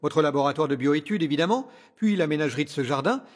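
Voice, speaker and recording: Man acting as courtly villain scientist, high voice, very animated pitch, theatrical, condescending, cunning, audio drama. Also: fast. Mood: suave, condescending.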